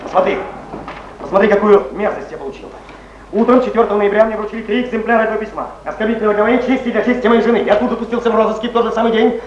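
An impassioned poet defending his honour in a stage drama, played by a male actor. His words come fast and high, declaimed with bitter intensity. The old theatre recording is echoey. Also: natural voice. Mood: outraged. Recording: echoey, muffled 1970s theatre recording, faint hiss.

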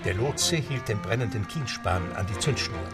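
A man narrating a radio drama in a measured storytelling manner. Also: lowish voice, animated, distant mic. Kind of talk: scripted radio-play narration. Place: studio. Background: music.

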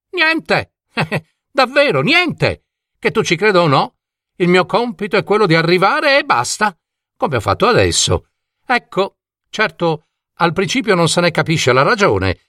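Man playing animated, chatty old vagabond dreamer. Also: on the high side, no echo, clean studio sound, pitched above the performer's own voice, full character voice. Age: older. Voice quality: warm voice. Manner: theatrical, rambling, exclamatory, full of rhetorical questions. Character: old vagabond dream-carriage driver, kindly, chatty, eccentric.

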